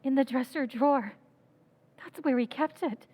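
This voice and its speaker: A woman's voice: high voice, normal speed, a little mellow, husky. A woman playing Sally, a stunned young wife, speaking hesitantly in a 1940s radio drama recreation. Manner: hesitant, unbelieving.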